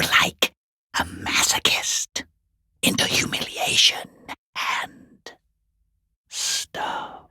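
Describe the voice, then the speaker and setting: Casting: furtive, mocking game creep, husky half-whisper, slow theatrical drawl, dripping sarcasm. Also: male voice, on the high side, sharp tone, dry recording, clean studio sound, full character voice. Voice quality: hoarse, breathy rasp, often half-whispered. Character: sneering, mocking, furtive peeping lurker behind a gate. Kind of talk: video game character acting.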